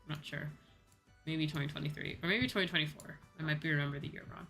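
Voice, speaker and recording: Young female VTuber, slightly low voice, casual informal stream chat over background music. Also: fairly even intonation, fast, gravelly, fairly bright tone. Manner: chatty, informal, repeats phrases.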